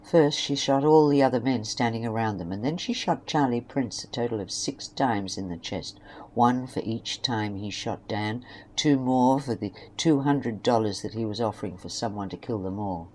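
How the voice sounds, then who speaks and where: A woman's voice: extremely low pitch, moderate pace, darkish tone. A woman reading scripted story narration for an amateur audio drama.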